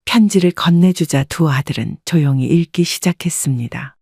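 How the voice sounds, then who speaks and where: A woman narrating a first-person story in a calm, even, reflective scripted reading. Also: deep voice, normal speed, breathy edge, no echo, clean studio sound.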